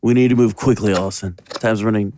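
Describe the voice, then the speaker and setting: An urgent man, played by an actor, speaks in a dark, fairly low voice with clipped phrasing. It is a horror audio drama with faint background noise. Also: fairly even intonation, fast talker, close to an everyday voice. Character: dark, urgent male occult ally.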